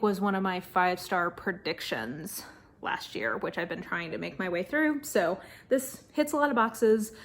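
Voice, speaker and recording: A woman chatting informally to camera as a book vlogger, hedging often with "like" and "kind of". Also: mid-range voice. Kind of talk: casual chatty monologue to camera.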